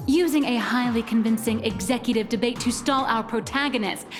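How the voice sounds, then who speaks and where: A woman's voice: a little high, a little fast, lightly acted. An actress playing a shrewd, playful, bossy female magician in a game, theatrical and knowing in her delivery. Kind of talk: game voice acting. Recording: reverb, faint music behind.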